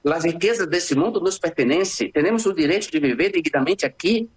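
A husky-voiced man with a Brazilian Portuguese accent, speaking as a guest in a remote interview. He talks with conviction but stumbles often, restarting words and filling gaps with "eh". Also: on the high side, lively intonation. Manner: impassioned, halting with restarts and fillers.

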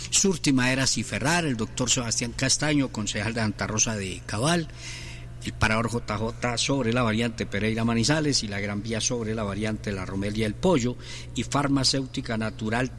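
A man's voice: medium pitch, a bit bright, slight background noise. A middle-aged man, hosting a sports radio show in a rambling, joking, chatty way and reading out sponsors. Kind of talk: casual radio talk with sponsor reads.

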